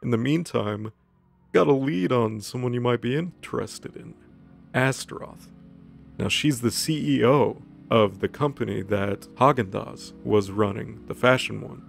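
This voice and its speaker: Man playing a chummy, creaky demon club owner. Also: mid-range voice, unhurried, faint music behind. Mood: encouraging. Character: laid-back, friendly male demon club owner.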